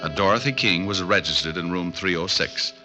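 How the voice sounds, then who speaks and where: An actor playing a wisecracking tough private eye in a 1940s radio drama, narrating and sparring dryly. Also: male voice, low voice, fairly quick, lightly acted. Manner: dry wisecracking narration and dialogue. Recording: dull old radio recording with music.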